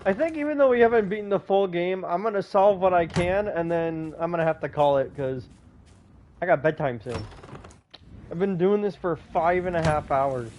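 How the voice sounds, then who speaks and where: A man's voice: high voice, normal speed, a little hiss or hum. A man doing casual video game commentary, muttering and thinking aloud as he plays. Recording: dull lo-fi mic with music behind. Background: game music.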